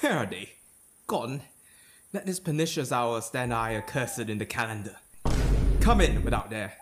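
A man's voice: medium pitch, lively intonation, normal speed, slight background noise, close to an everyday voice. A man acting a Shakespearean king, ambitious, fearful and vengeful, in a theatrical, declamatory dramatic reading. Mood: shaken. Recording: loud ominous music behind the voice. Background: ominous music.

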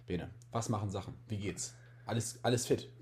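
A man's voice: fairly low pitch, normal speed, darkish tone. A young man in his twenties with a slight cold, hosting a podcast in a joking, rambling way and often repeating words. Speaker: podcast host. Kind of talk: casual chat.

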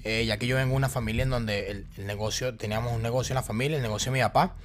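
A man's voice: mid-range voice, fairly even intonation, very fast, slight background noise. A young man in his twenties with a Venezuelan accent, telling his personal story to the camera in a casual, talkative way with many fillers and restarts. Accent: Venezuelan Spanish. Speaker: vlogger.

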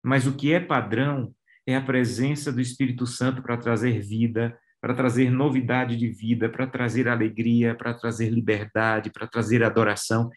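A man's voice: mid-range voice, little pitch movement, normal speed, hardly any pauses. A man preaching a sermon to listeners, pressing his points with rising emphasis. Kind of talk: radio sermon.

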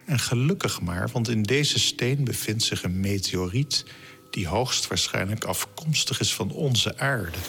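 A man reading scripted science narration in a monotone manner as a podcast narrator. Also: on the low side, unhurried, gravelly, a little hiss or hum. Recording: music behind the voice.

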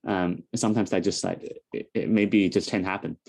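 Man, lowish pitch, mellow tone, hesitant, pauses a lot, panel talk. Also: fairly even intonation, vocal fry. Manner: hesitant, searching for words. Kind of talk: conversational panel discussion.

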